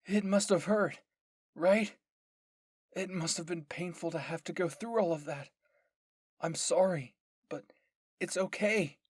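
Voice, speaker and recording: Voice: mid-range voice, measured pace, pauses often, breathy edge, no room sound, pitched above the performer's own voice. A performer acts out a teenage boy soldier in an anime audio drama. He is anguished, protective and impassioned. His urgent pleas come through very dry and close. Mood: tender pleading. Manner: urgent, emotional pleading.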